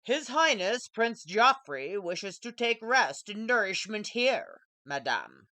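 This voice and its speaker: A woman's voice: medium pitch, big pitch swings, takes it slowly, sharp tone, full character voice. A woman voicing a dutiful royal footman in a storybook, speaking in a stiffly formal, announcing way.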